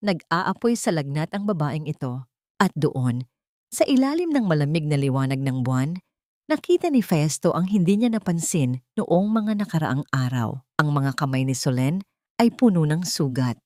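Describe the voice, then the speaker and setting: A woman's voice: low voice, very animated, normal speed, dark tone, no echo, clean studio sound. A woman narrating a dramatic audiobook story from a script.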